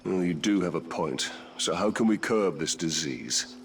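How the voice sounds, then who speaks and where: Low-voiced, stoic ranger. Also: male voice, animated, gravelly, slight background noise. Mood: dry, measured. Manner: measured, formal, dry. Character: stoic human ranger, grim warrior. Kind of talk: video game cutscene acting.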